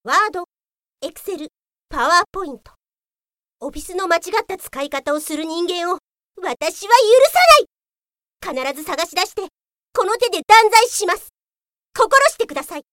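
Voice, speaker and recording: A woman's voice: very high-pitched, lively intonation, normal speed, pauses often, fairly bright tone, dry recording, clean studio sound. A woman playing a stern, zealous police detective in an audio drama, declaiming dramatically with bursts of outrage. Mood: grimly righteous. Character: zealous, stern female police detective enforcing office rules. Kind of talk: Japanese audio drama acting.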